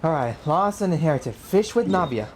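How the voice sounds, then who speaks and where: Young man, animated, slangy gaming commentary. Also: on the high side, normal speed, a little hiss or hum. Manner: slangy, often says bro. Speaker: gaming video host. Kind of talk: casual let's play commentary. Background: game music.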